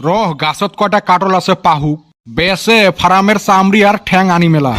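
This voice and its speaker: A man's voice: high voice, normal speed, fairly bright tone, soft background music. A man with a northern Bangladeshi Rangpuri accent playing a grumbling, sarcastic, hard-up village tea seller in a comedy cartoon. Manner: complaining, sarcastic. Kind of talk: comedy cartoon voice acting in rural dialect.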